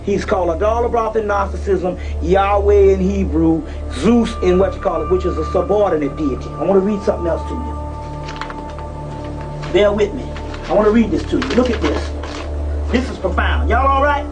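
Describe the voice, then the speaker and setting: A man's voice: sits high, lively intonation, moderate pace. A man giving a fiery, informal lecture to a live audience, preaching emphatically and repeating phrases, often with profanity. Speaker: lecturer on esoteric teachings. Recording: distant live lecture recording, music over it. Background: music.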